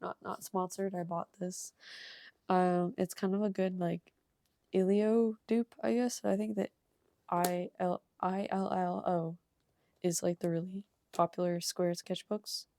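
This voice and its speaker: Young woman, slightly hoarse from a cold, low-ish mellow voice, casual rambling talk. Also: steady tone, measured pace, dry recording. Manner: casual and rambling, with frequent ums and soft laughs. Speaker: art channel creator. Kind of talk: casual sketchbook tour voice-over.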